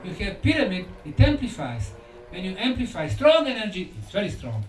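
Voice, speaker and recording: A man giving a conference lecture in short, deliberate phrases with dramatic pauses and rhetorical questions. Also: high voice, wildly swooping pitch, soft background music. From Bosnia. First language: Bosnian. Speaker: lecturer and researcher. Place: indoor lecture room at a conference. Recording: echoey room, distant mic, faint noise.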